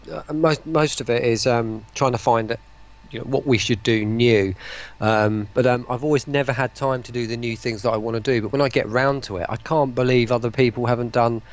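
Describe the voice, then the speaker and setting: A man with a gravelly, creaky voice talks informally as an interview guest. His pitch is middling. He rambles, doubling back on his sentences as he goes. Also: steady tone, brisk. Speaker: voice pack creator and interview guest. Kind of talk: casual stream chat.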